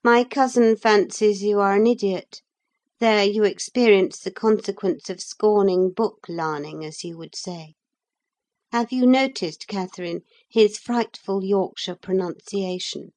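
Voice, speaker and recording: A woman's voice: mid-range voice, normal speed, dark tone, pitched above the performer's own voice, natural voice. A woman voicing a spiteful, sickly teenage boy in an audiobook, with a sneering, taunting manner.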